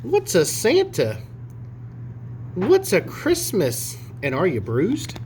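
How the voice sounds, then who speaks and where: A man's voice: high-pitched, wildly swooping pitch, slow pace, a little mellow, slight background noise, voice pushed up, full character voice. A man voicing naive, fretful little penguins in a storybook, exclamatory and questioning. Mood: puzzled. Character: naive, fretful young penguins, puzzled and curious.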